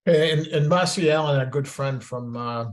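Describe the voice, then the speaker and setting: A man's voice: a little high, moderate pace. A man from the Boston area with a Boston accent, hosting a webinar over a video call in a rambling, jokey way with many restarts. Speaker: webinar host. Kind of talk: casual moderated webinar discussion.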